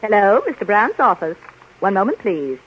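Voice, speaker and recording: An actress playing a prompt, dutiful studio secretary in a 1930s radio comedy. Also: female voice, mid-range voice, big pitch swings, moderate pace, loud music behind the voice, close to an everyday voice. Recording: dull, faintly noisy 1930s radio recording.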